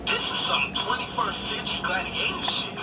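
Actors voicing gruff cops on game radio. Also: medium pitch, very animated, normal speed, echoey room, distant mic, close to an everyday voice. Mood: urgent. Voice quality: terse, tough cop talk over radio. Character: gruff pursuing police officers, mixed crew. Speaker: police radio chatter in a racing game. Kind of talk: game police radio barks. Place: living room, TV speakers. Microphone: phone camera filming the TV. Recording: game audio played from a TV, filmed from across a noisy room.

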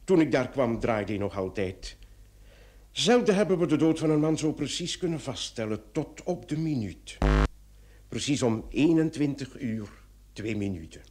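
An actor playing a formal, dryly probing police commissioner in a radio play, measured and sometimes hesitant. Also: male voice, mid-range voice, lively intonation, a little mellow, close to an everyday voice. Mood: businesslike. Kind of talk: old radio play acting.

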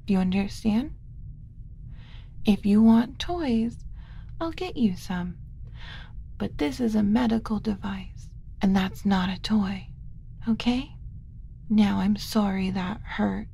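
A woman voicing a gentle, doting alien who coaxes a human like a small child, in a soft ASMR roleplay with frequent whispering. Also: mid-range voice, creaky, husky, heavily acted. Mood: tender, curious. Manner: coaxing, slow, motherly, talking as if to a small child.

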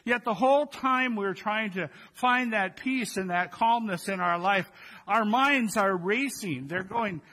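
A man's voice: high voice, a little slow, bright, forceful tone. A man in his sixties preaching a Christmas Eve sermon in a conversational way, speaking directly to his congregation from the pulpit. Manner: conversational, addresses congregation with rhetorical questions. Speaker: pastor preaching. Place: church sanctuary, at the pulpit. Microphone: gooseneck pulpit microphones.

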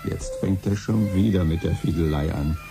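A man's voice: sits low, moderate pace, over music. An actor playing a frustrated, pushy middle-aged father in a 1970s German radio play, grumbling and insistent.

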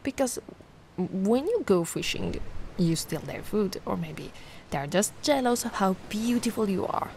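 A woman voicing a giant, sweet and curious young mermaid in an ASMR roleplay, gushing and doting in a gentle, cooing way. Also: medium pitch, lively intonation, unhurried, vocal fry, low-quality recording. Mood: adoring. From Italy. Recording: noticeable ambient background noise.

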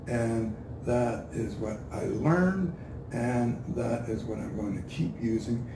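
An older Canadian man gives interview answers slowly and haltingly, circling back over his own phrases. His voice crackles with fry. He sounds set back from the microphone in a roomy indoor space. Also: medium pitch. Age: older, 60s or above. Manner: halting, deliberate, repeats himself. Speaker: street preacher being interviewed. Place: indoor room with a couch. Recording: roomy, distant mic, noisy.

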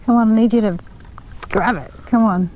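A woman's voice: medium pitch, moderate pace, a little mellow, a little hiss or hum, poor audio. A woman giving a cheerful, chatty running commentary while fishing from a sand dune by a river, talking to the fish as she catches them, recorded on a body-worn action camera. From Australia. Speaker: fishing vlogger.

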